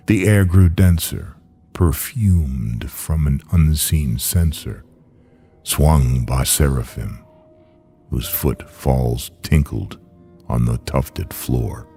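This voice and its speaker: A man reciting gothic horror verse as a radio story narrator, with theatrical, brooding, deliberate phrasing. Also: extremely low pitch, mellow, slightly rough. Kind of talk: dramatic gothic poetry recitation. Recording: music bed under the voice. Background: background music.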